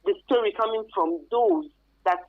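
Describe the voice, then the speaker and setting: A woman's voice: fairly high pitch, sing-song, normal speed. A woman with a Nigerian accent explaining earnestly as an expert interview guest, repeating phrases for emphasis, on a phone-like radio recording. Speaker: expert guest, career advisor.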